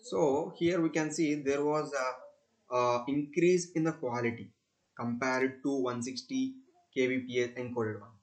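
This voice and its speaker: A young man speaks with an Indian accent at an average male pitch. He presents a technical demonstration in the manner of a student, explaining step by step haltingly and often repeating his phrases. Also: fairly even intonation. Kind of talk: screen-recorded technical demonstration.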